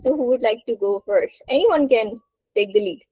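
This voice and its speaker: A woman's voice: high-pitched, sing-song, moderate pace, vocal fry, dark tone, husky, no room sound. A woman teaching a spoken English lesson to a group on an online call, encouraging and prompting participants. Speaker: English teacher hosting a webinar.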